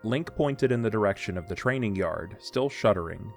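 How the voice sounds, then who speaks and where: A man with a fairly low voice narrates a fantasy story. His delivery is measured and literary, with faint music running underneath. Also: lively intonation, vocal fry. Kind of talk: scripted audio drama storytelling.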